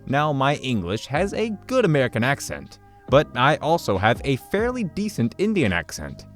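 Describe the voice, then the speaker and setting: Animated man telling a story. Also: medium pitch, normal speed. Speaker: story narrator. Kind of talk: reading an online story aloud. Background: soft background music.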